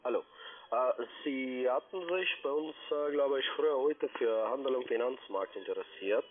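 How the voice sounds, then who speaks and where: A man making a polite phone sales pitch in non-native German with grammar slips, as a call center agent. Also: normal speed, a little hiss or hum. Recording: band-limited telephone line.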